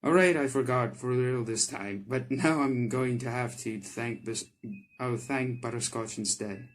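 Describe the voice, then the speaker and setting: A man voicing a wry, grumpy but softening friend in a video game, dry and casual, with music behind. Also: mid-range voice, unhurried, natural voice. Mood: amused, softening. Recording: background music under the voice.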